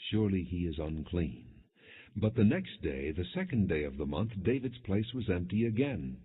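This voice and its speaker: A man narrating scripture as an audiobook, reading the story and its quoted dialogue in a measured, expressive way. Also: deep voice, slightly rough. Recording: muffled narrowband, phone-like audio.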